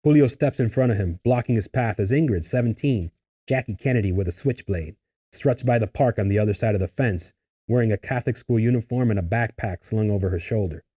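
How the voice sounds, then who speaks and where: A man's voice: fairly low pitch, a little fast, warm tone, slightly rough. A man reading stage directions as scripted narration for a table read.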